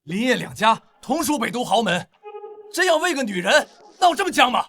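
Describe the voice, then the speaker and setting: A man's voice: extremely high pitch, very animated, fairly quick, a bit bright, heavily acted. A man acting an arrogant, whiny young heir of a powerful family in a melodrama. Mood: haughty. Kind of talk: melodramatic short-drama acting.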